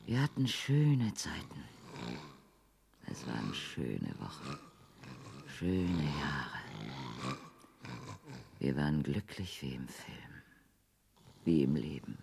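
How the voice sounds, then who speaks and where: An actress in a radio play playing a weary housebound wife who is wistful and coy, with a breathy voice that often drops to a whisper. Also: female voice, rumbling low, big pitch swings, slow, pauses often, darkish tone, exaggerated voice. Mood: bitter, wistful. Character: housebound, weary wife daydreaming of courtship and adventure. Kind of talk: radio play acting, intimate monologue and dialogue.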